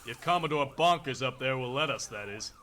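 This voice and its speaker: A man as an exasperated, sharp-voiced police captain. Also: mid-range voice, expressive, fairly quick, close to an everyday voice. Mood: weary, sardonic. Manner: urgent, dry, plain-spoken. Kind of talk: radio drama dialogue.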